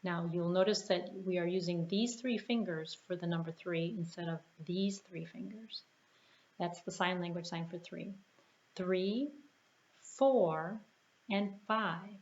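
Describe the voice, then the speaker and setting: A woman's voice: fairly low pitch, unhurried, darkish tone. A woman hosting a children's storytime in an encouraging way, with simple, repeated sing-along phrases and questions for young listeners. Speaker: children's storytime host at a library. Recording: roomy echo, dull sound, background noise.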